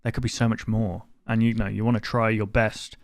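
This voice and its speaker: A man's voice: lowish voice, level tone, fairly quick, no echo, clean studio sound. A man giving blunt, informal running commentary as a reaction video host, sometimes reading text aloud.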